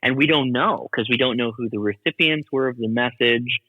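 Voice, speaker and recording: A man's voice: mid-range voice, a little fast, no echo, in a large hall, narrow, tinny audio. A man talking as a podcast guest and radio hobbyist, explaining things in a casual, chatty way.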